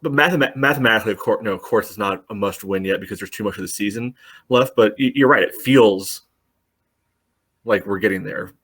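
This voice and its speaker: Man, low voice, quick talker, wisecracking podcast co-host. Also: a bit bright, breathy edge. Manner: wisecracking, teasing. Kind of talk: casual sports podcast chat.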